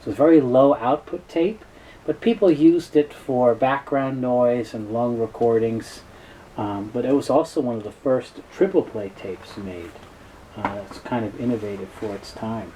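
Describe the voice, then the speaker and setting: A middle-aged man gives an informal, unscripted explanation as a presenter, pausing and correcting himself often. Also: mid-range voice, mellow, poor audio. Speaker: presenter, tape specialist. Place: small cluttered indoor office. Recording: noticeable background noise, room ambience.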